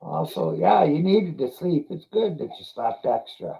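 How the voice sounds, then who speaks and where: A man's voice: on the high side, animated, normal speed, gravelly, warm tone, low-quality recording. A man talking casually in an informal chat.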